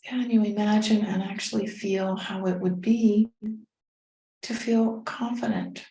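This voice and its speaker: Mellow, even-toned woman guiding meditation. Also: mid-range voice, vocal fry. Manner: gentle, unhurried, soothing instruction with pauses. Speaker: guided meditation teacher. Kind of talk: guided meditation. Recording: roomy, echoing sound.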